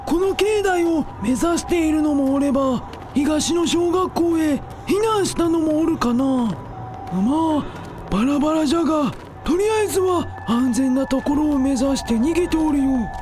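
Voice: sits high, moderate pace, a little mellow, soft background music, natural voice. A performer in a radio drama voicing a worried, folksy elderly village man with fretful old-fashioned speech. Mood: weary, worried. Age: older.

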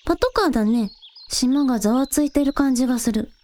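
A woman's voice: high-pitched, a little fast, darkish tone, close to an everyday voice. A woman playing an earnest young village girl, a younger sister, in a radio drama. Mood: concerned. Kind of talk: Japanese radio drama acting. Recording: echoey reverb, music underneath.

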